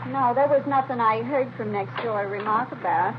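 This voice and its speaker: A woman's voice: on the high side, moderate pace, natural voice. Actress as a grumbling, lonely older woman, noisy old radio. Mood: matter-of-fact, grumbling. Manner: chatty, matter-of-fact, complaining. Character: lonely, plain-spoken older woman neighbor, wistful and grumbling. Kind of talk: 1940s-50s radio crime drama acting. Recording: noisy, dull vintage radio recording.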